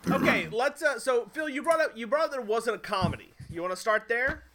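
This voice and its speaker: A man's voice: very high voice, animated, fairly quick, bright, forceful tone. A man hosting a casual film chat, steering the talk with many restarts. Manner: hesitant, many restarts and fillers while guiding the talk. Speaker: podcast host steering the discussion.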